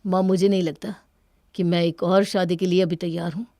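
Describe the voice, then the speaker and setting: A woman's voice: lowish voice, flat delivery, moderate pace, mellow, dry recording, clean studio sound, close to an everyday voice. A woman voicing a hurt, guarded young husband in a cartoon story, with an earnest, plaintive manner. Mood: guarded, sombre.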